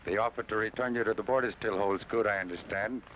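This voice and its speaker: An actor playing a smooth, courteous bandit envoy in an old radio drama, with mocking politeness. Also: male voice, fairly low pitch, steady tone, fast talker, close to an everyday voice. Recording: muffled old radio broadcast with hiss.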